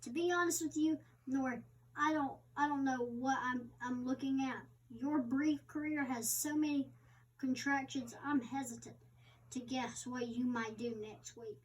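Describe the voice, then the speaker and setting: A woman reading an interviewer's part aloud, halting and stumbling over words. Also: sits high, steady tone. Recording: distant microphone.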